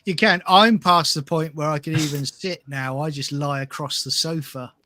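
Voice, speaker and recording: A man's voice: a little high, fairly even intonation, normal speed, bright, forceful tone, no echo. A man chatting casually as a guest panelist in a laid-back, joking way. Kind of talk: casual chat.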